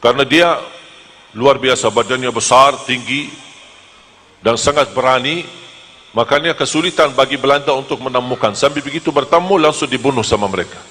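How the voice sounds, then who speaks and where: A man from Medina, preaching to a live audience in a lively, joking storytelling manner. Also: medium pitch, brisk, in a large hall, a little hiss or hum. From Medina, Saudi Arabia. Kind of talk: humorous sermon to a live audience.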